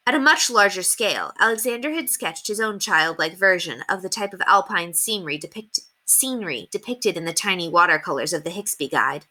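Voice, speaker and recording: A woman reading a children's novel aloud as an expressive storyteller. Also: on the low side, a little fast, hardly any pauses. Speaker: read-aloud storyteller at an elementary school.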